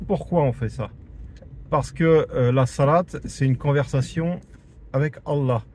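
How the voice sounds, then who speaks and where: A man's voice: mid-range voice, a little slow, a little mellow. French man teaching in a car. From France. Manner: conversational, many fillers and restarts, emphatic repetition. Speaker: religious teacher. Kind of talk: informal spoken teaching. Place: in a car in traffic. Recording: audible traffic noise from the road.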